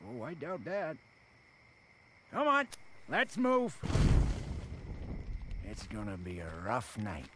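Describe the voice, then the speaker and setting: A man playing a gruff, stubborn middle-aged sheriff with a folksy Southern US drawl in a video game. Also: medium pitch, wildly swooping pitch, slow pace, pauses often, background noise. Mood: wary, insistent. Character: gruff, stubborn small-town American sheriff. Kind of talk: video game cutscene acting.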